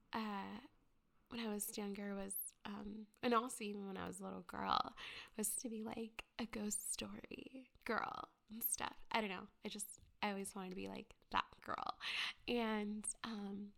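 A young woman with a stuffy, congested voice, talking slowly in a soft half-whisper. Her girly tone carries a gravelly, creaky edge as she rambles through her intro, dotted with fillers. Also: mid-range voice, steady tone. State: stuffy from a cold. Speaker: ghost story podcaster. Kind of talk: soft whispered casual chat.

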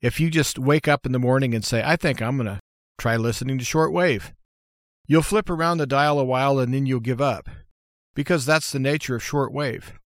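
A man's voice: mid-range voice, moderate pace, dry recording. Plainspoken American man, dry close sound. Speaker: radio show host. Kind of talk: informal how-to monologue.

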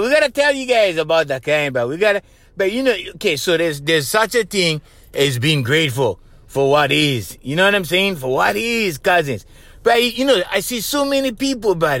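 A man's voice: high-pitched, bright, forceful tone, no room sound, exaggerated voice. A man playing a brash Hawaiian ex-con who hosts a podcast, speaking in Hawaiian Pidgin with laid-back slang and a "bro" on every line. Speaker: podcast host. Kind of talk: comedic character monologue.

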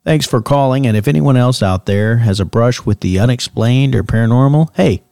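Actor as gravelly late-night paranormal radio host. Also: male voice, medium pitch, normal speed, warm tone, no room sound, studio quality, lightly acted. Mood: wry.

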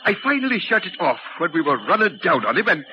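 An actor playing a shaken, respectful railway engine driver with emotional, theatrical 1940s radio delivery. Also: male voice, high-pitched, sing-song, fast talker. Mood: shaken, explaining. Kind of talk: 1940s radio drama acting. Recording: dull noisy 1940s radio recording.

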